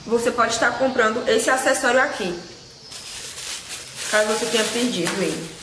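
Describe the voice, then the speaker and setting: A woman's voice: a little high, normal speed. A woman, casually presenting products to camera as a vlogger and seller. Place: small indoor room with shelves. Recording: echoey room with loud background noise.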